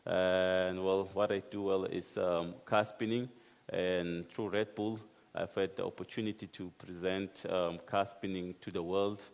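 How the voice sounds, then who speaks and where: Man from South Africa, very low and monotone, halting with fillers, hall echo. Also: creaky. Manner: hesitant, filler-heavy. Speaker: panelist, car spinning athlete. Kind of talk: panel introduction. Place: conference hall. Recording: reverberant hall.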